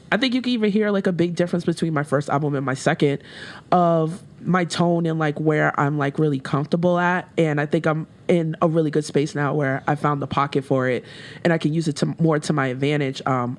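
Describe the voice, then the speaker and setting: A woman with a deeper, lowish voice speaks openly and thoughtfully as an interview guest. She talks in a relaxed, candid way, thinking aloud with plenty of fillers. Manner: candid, reflective, with laughs and many fillers. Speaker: rapper, guest. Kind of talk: casual interview chat.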